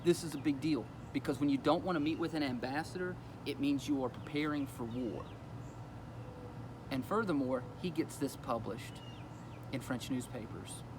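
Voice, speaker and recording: A man's voice: medium pitch, normal speed, noisy background. A man explaining a history lesson in a casual, conversational way, with fillers as he goes. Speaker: teacher.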